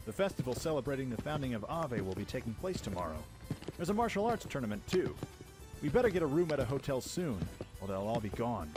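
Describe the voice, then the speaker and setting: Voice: mid-range voice, very animated, fast talker, over music, natural voice. A performer playing a breezy, confident young male prince and adventurer in a radio play. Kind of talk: radio play acting, fan production.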